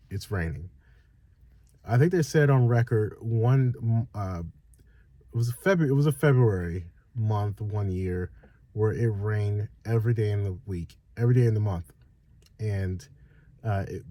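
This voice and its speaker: A man's voice: medium pitch, dark tone, dry recording. A man from Northern California chatting casually as a vlogger, rambling with lots of fillers and restarts, sounding nasal from a head cold. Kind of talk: casual direct-to-camera chat.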